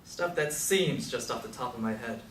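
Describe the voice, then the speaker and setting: A man's voice: medium pitch, moderate pace, fairly bright tone, natural voice. Roomy, distant actor as analytical aging Beat man. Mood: musing. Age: older. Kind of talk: stage play dialogue. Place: live stage performance recorded from a distance. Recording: echoey, distant mic, faint noise.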